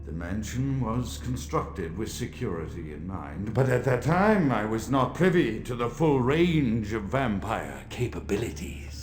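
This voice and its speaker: Haunted, paranoid vampire doctor, swooping intonation. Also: male voice, medium pitch, darkish tone. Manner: formal, florid, haunted. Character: fearful, paranoid male vampire doctor hearing voices. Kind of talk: video game audio diary monologue. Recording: faint music behind the voice.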